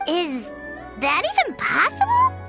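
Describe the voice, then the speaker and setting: A woman voicing a tiny, excitable childlike fairy companion in a video game, eager and squeaky. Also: a little slow, heavily acted. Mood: puzzled. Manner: exclaiming, eager. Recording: loud music under the voice.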